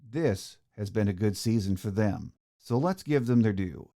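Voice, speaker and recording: A man's voice: fairly low pitch, expressive, moderate pace, warm tone. A middle-aged man hosting a sports podcast, delivering a scripted monologue. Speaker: podcast host.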